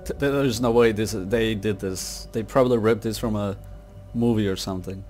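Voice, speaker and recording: A man's voice: fairly low pitch, moderate pace, a little mellow, faint music behind. A man giving casual gameplay commentary in an awestruck, slangy way, peppered with dude and man. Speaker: gamer commentating his playthrough.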